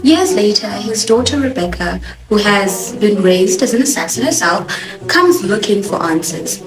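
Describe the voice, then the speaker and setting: A woman's voice: medium pitch, moderate pace. Sassy young woman with Joburg accent. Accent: South African (Johannesburg). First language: Swahili. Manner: expressive, sassy, animated emphasis. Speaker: TV show reviewer, host. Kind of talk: casual opinionated review. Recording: echoey room with music behind.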